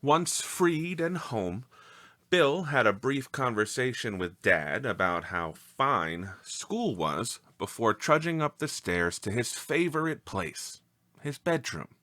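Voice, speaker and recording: A man reading a scripted story as narrator, with an expressive, measured and dramatic delivery. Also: mid-range voice, no echo.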